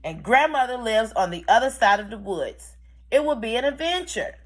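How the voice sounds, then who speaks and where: A woman's voice: fairly high pitch, very animated, moderate pace. Woman reading as a caring, singsong storybook mother. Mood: encouraging. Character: caring, cautioning storybook mother of a young girl.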